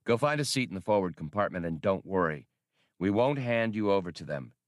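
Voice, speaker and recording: Guy doing a cocky curt space smuggler captain, wry and slangy, low voice, audiobook style. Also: expressive, a little fast, no room sound, clean studio sound, lightly acted. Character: wry, impatient male smuggler starship captain. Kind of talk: audiobook character acting.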